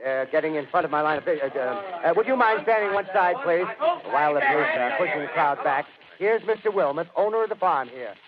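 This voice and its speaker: A man's voice: fairly high pitch, lively intonation, fast talker, a loud crowd. An actor playing an earnest radio field reporter in a 1930s drama, formal and now and then hesitant as he searches for words. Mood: hurried, insistent. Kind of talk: 1930s radio drama acting as live commentary. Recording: tinny, noisy old 1930s radio broadcast.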